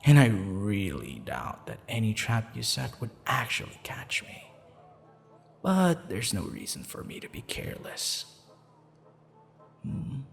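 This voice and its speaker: Guy doing a lovestruck assassin man, whispery and teasing but threatening, gravelly, slow, roleplay audio w music. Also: fairly low pitch, wildly swooping pitch, long reverb tail, full character voice. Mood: confident, teasing. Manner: hushed, intimate, deliberate, often whispering. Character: lovestruck, menacing, smugly teasing male assassin.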